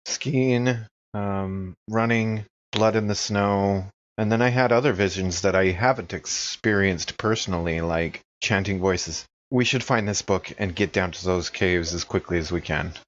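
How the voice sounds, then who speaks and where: Low-voiced man playing a tabletop game. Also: measured pace, dull audio, slight background noise. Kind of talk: casual in-character improvised play.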